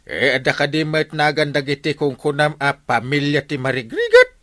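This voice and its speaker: A man with an Ilocano accent playing an excitable, suspicious villager in a radio comedy drama, full of questions. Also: a little high, normal speed, dry recording. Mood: excited, incredulous.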